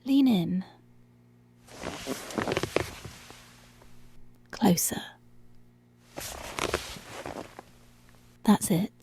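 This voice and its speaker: A woman playing a dominant, menacing policewoman in a teasing, seductive ASMR roleplay, commanding and drawn-out, often slipping into whispers. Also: on the high side, gravelly, mellow, breathy edge, dry recording, exaggerated voice. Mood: possessive, teasing. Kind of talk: intimate ASMR roleplay, slow and breathy.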